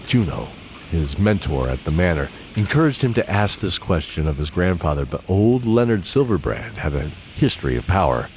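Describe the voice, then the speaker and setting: A man's voice: sits low, sing-song, moderate pace, darkish tone. A man narrating a scripted fantasy audio drama in storybook style. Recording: clear background ambience noise.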